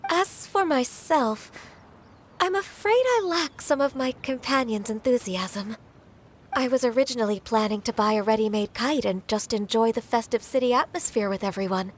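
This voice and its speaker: Game heroine voiced by a woman: polite, soft-spoken, high-pitched young woman, modest and a little hesitant. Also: normal speed, slight background noise, natural voice. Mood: earnest. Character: gentle, modest, dutiful young woman, drowsy office worker.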